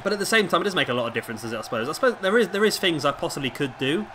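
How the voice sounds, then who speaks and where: A hoarse man with a sore throat giving casual play-by-play commentary as host of a wrestling game video. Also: fairly high pitch, animated, racing. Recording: clearly noisy home recording.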